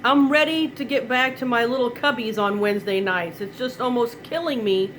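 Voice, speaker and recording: A woman's voice: fairly high pitch, moderate pace, a bit bright, a little hiss or hum, rough recording. Chatty woman with a cold. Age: middle-aged. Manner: chatty and rambling, chuckles often. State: slight head cold. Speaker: direct-sales consultant. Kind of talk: live online product demo.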